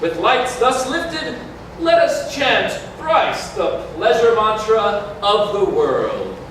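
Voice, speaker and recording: A man acts as an exalted officiant at a mystical stage wedding. His high voice moves slowly through solemn, incantatory verse. It comes from far off in a very echoey hall. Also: sing-song, fairly bright tone, a little hiss or hum, exaggerated voice. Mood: exalted, ceremonial. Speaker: actor in a staged reading. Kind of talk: ceremonial theatrical verse recital. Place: large community hall, staged reading.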